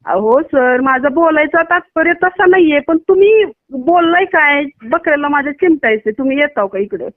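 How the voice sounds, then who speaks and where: A woman's voice: high voice, animated, normal speed, hardly any pauses, no echo. A woman with a Maharashtrian Marathi accent, a doctor speaking bluntly in a casual phone conversation. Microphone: phone call. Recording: tinny narrowband phone line.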